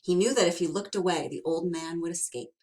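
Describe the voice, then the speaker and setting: A young woman teacher reading a storybook aloud to children, slowly and expressively. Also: fairly low pitch. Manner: slow and expressive, asks the listeners questions.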